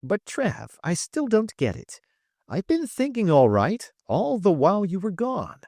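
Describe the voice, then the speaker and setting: A man's voice: high-pitched, wildly swooping pitch, unhurried, darkish tone, no room sound, clean studio sound, pitched above the performer's own voice, heavily acted. A man voicing a baffled, eager young male sidekick in an audiobook, hesitant and questioning. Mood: earnest confusion. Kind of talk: audiobook character dialogue.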